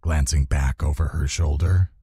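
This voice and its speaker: A male audiobook narrator with a deep, very husky, mellow voice. He reads children's fantasy in a measured storytelling style, recorded close and dry. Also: fairly even intonation, no echo.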